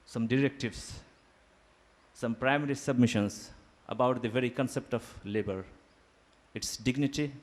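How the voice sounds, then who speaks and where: A man whose first language is Urdu, giving a formal seminar lecture in a deliberate academic manner, pausing with fillers. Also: mid-range voice. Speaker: guest lecturer. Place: seminar hall.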